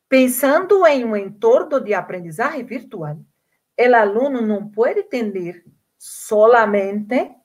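A woman from Brazil with a Portuguese accent speaks Spanish as a second language in a slightly high voice. She talks earnestly and often repeats a word while reaching for the next one. The sound is that of a video call. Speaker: invited speaker. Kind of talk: online conference talk, answering questions.

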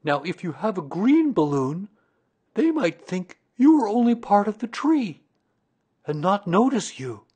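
A man acts out a storybook toy bear with a raised, singsong pitch. He is earnest and a little anxious, and weighs his words ponderously as he thinks things through. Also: sing-song, unhurried, a little mellow, breathy edge, exaggerated voice. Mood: earnest, thoughtful. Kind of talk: children's storybook character reading.

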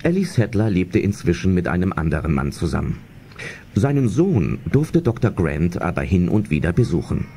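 A man's voice: deep voice, big pitch swings, normal speed, a little hiss or hum. A man narrating a suspenseful adventure audio drama in scripted storyteller style. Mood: suspenseful storytelling. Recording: loud music under narration.

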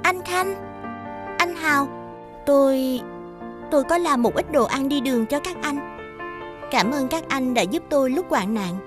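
High, demure young shopkeeper. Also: female voice, expressive, a little slow, pauses often, music in the background. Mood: shy, grateful. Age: young adult. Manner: polite, demure. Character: modest, well-mannered young female shopkeeper. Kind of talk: animated fairy-tale dubbing.

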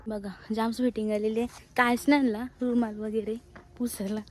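A woman's voice: a little high, level tone, a little fast, a little mellow. A young woman from Maharashtra, India, vlogging casually on a phone outdoors, chatty and mixing in English words. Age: young adult. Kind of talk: casual vlog narration to camera, chatting with friends. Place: outdoors on hillside trails and farm grounds. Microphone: phone at arm's length. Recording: noisy outdoor phone recording.

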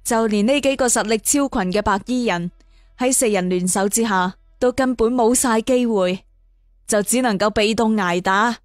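A woman voicing a composed, teasing young martial arts master in an audio story, with a calm, dryly mocking manner. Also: a little high, moderate pace, no room sound, clean studio sound, lightly acted. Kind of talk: acted dialogue in an audio storytelling.

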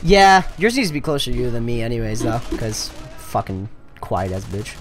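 A man's voice: medium pitch, big pitch swings, moderate pace. A young man rambling through a casual chat while his friend plays a game. He talks in loose, winding sentences full of fillers and swearing, over faint background music. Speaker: let's play co-host.

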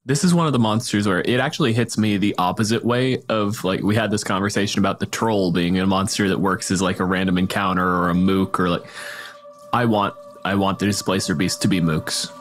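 A man with a low-pitched voice talks casually as a podcast panelist. He explains game ideas at length in vivid, descriptive runs, with clear music playing behind him. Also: fairly even intonation, a little fast. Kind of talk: casual panel discussion about tabletop games.

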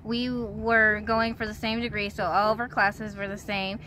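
Very bright-voiced young woman chatting. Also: mid-range voice, little pitch movement, moderate pace, slight background noise. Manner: uses "like" often. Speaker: guest, van-life traveler and student. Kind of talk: casual chat. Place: outdoors beside a parked van.

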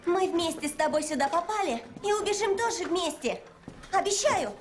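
Актриса дубляжа озвучивает бойкую юную сироту в мультфильме, говорит живо и выразительно. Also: female voice, very high-pitched, moderate pace. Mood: playful, reassuring. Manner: eager, pleading, expressive. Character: spirited young orphan girl dreaming of dancing. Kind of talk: animated film dub acting. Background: loud film music.

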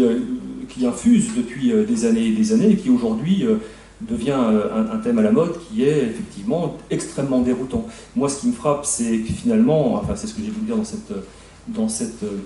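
A middle-aged man, a historian, speaking on a debate panel in a halting way, with many fillers and restarts. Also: mid-range voice, warm tone, a little hiss or hum. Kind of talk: spontaneous panel talk. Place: conference room, seated at a panel table. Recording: echoey room, mic far off.

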